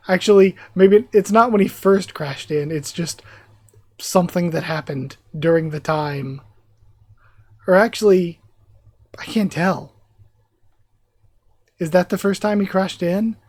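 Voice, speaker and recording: Live reaction host, voice giving out, chatty, thinks out loud, mid pitch. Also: no echo. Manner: excited, chatty, thinks aloud. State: losing their voice. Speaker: YouTube reactor. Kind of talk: live reaction, reading manga aloud.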